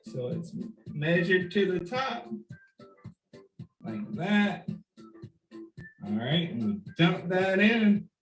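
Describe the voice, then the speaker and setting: A man with a slightly high voice hosts a children's demonstration. He speaks very slowly in simple steps, asking the young viewers questions and leaving room for answers. The room adds a clear echo to his voice. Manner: simple step-by-step phrasing with questions and pauses for the child. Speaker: children's story time host. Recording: roomy echo.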